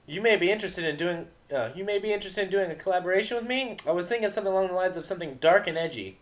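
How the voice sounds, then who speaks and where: A man's voice: sits high, expressive, rapid-fire, voice pushed up. A man voicing a brash, teasing female cartoon pony musician with a sarcastic, mock-enthusiastic manner.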